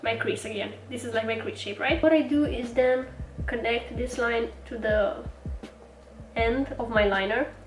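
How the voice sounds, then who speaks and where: A woman's voice: a little high, measured pace. Cheerful woman with a stuffy cold. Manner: chatty, talks while working at a mirror. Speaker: makeup tutorial host. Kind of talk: casual step-by-step makeup tutorial. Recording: roomy, mic at a distance, music underneath. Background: background music.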